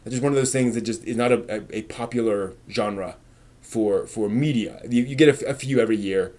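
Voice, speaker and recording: Halting male live-stream host, thinking aloud. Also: on the low side, darkish tone. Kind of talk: casual live-stream chat about anime, answering viewers' comments.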